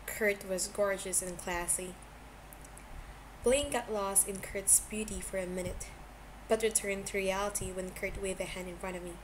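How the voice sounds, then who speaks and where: A woman's voice: mid-range voice, steady tone, normal speed. A woman narrating a story from a script as an amateur audiobook reader, now and then stumbling over words. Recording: clearly noisy amateur home recording.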